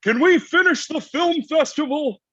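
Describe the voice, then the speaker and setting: A man playing a laid-back, slangy, gruff Santa Claus in a comedy table read, heard over online call audio. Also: extremely high pitch, a little slow, fairly bright tone, highs cut off. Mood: friendly. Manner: casual slangy delivery.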